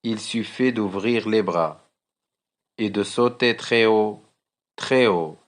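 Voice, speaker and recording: A man reciting a children's poem for a school lesson, deliberately and with measured phrasing, as a teacher would. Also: on the low side.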